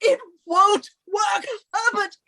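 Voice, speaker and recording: A woman's voice: very high voice, slow, gravelly, pushed and bright, shouting, full character voice. A woman playing a bossy, haughty British wife in a radio suspense drama, with an imperious, theatrical delivery. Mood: panicked, pleading.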